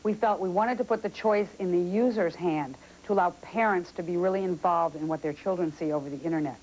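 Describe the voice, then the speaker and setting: A woman's voice: lowish voice, a little fast, darkish tone, a little hiss or hum, low-quality recording. A woman explaining things as a company representative in a news interview.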